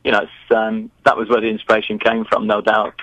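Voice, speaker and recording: Low-voiced man, hesitant. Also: monotone, brisk, a bit bright, no echo, rough recording. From northern England. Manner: chatty, hesitant, many restarts. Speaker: guest, geography teacher. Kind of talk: remote interview.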